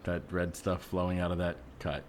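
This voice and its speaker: A man improvising a skeptical, uneasy ordinary neighbor in a comedy podcast scene, reasoning patiently in a hesitant, deadpan way. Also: low-pitched, moderate pace, a little hiss or hum, lightly acted.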